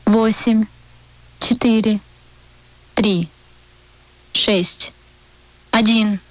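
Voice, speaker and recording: A woman reading out numbers one at a time in a flat, measured way. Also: medium pitch, no room sound. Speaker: numbers station voice. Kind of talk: reading digit groups. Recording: dull lo-fi shortwave recording.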